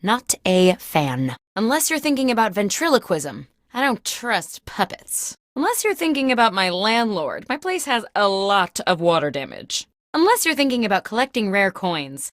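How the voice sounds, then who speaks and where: A woman's voice: medium pitch, moderate pace, hardly any pauses, no room sound, clean studio sound, close to an everyday voice. A woman playing a peppy, quirky office boss who hosts a comedic video game with mock-corporate patter. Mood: comic, opinionated. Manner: animated, mock-corporate comic delivery. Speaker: video game host character. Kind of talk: scripted comedic game show hosting.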